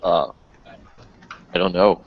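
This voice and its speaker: A man talking casually and tentatively as a player in a tabletop game session, halting as he goes. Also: low-pitched. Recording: dull, lo-fi voice chat audio.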